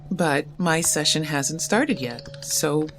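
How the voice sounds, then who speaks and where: A withdrawn young poet who restarts sentences and keeps herself closed off. Her voice sits low and unsure. A clearly audible score plays under the scene. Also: over music. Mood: guarded. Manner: hesitant, halting, self-conscious. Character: shy, guarded young poet at therapy. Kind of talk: audio drama acting.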